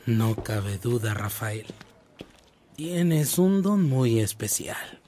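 Slow, measured, sober priest. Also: male voice, mid-range voice, sing-song, dark tone, exaggerated voice. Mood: admiring, calm. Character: sober, patient priest, companion to a younger one. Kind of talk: Spanish-language radio drama acting.

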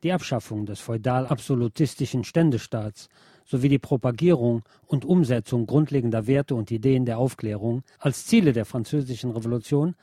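A man reads a scripted history feature as presenter, in a formal, measured way. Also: medium pitch, mellow, dry recording, studio quality. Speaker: radio show presenter.

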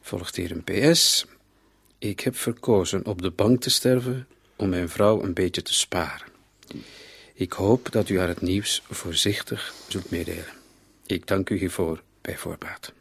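A reflective police commissioner in a radio play. The voice is husky and fairly low, in a very dry studio sound. He talks in a businesslike, matter-of-fact way. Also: a little slow, fairly bright tone, no room sound, exaggerated voice. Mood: reading gravely.

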